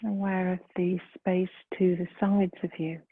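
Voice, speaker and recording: A woman leading a guided meditation in a gentle, soft, breathy voice, unhurried, with long pauses between phrases. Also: on the low side, level tone, dry recording. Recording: muffled phone-like lo-fi sound.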